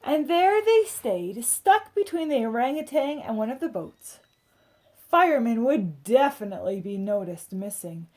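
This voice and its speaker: A woman reading a picture book aloud to children, with expressive dramatic emphasis and chatty asides to her listeners. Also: on the high side.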